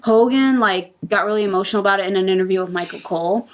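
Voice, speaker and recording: A woman's voice: medium pitch, quick pace. A woman chatting casually as a podcast panelist, giving her opinions conversationally.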